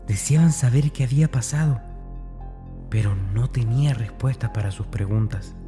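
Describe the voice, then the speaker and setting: A man playing a tormented, brooding young man in a confessional horror audio drama monologue, intense and breathy. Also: lowish voice, natural voice. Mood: agitated, defensive. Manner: intense, breathy, often dropping toward a whisper.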